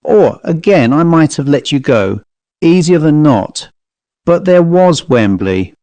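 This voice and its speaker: A man's voice: a little high, very animated, slow, darkish tone, no echo, voice pushed up, full character voice. A man voicing a self-possessed Cockney manservant with a controlled, wistfully reproachful manner in an audiobook story. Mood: composed.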